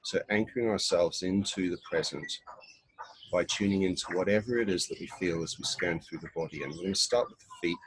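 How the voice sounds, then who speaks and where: A man leading a guided body scan meditation in an unhurried, instructional way, pausing between short phrases. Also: low voice, a little hiss or hum, low-quality recording.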